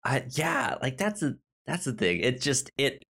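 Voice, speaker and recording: A podcast co-host chatting casually about a film, haltingly, often repeating words and acting out their own reactions. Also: medium pitch, big pitch swings, a bit bright, breathy edge. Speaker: podcast co-host reviewing films. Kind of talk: casual chat, film review.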